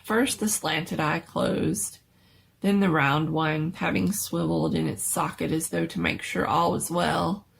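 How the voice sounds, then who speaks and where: Woman reading a spooky story dramatically, really gravelly fry in her voice, a little noise in the background. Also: fairly low pitch. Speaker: story narrator.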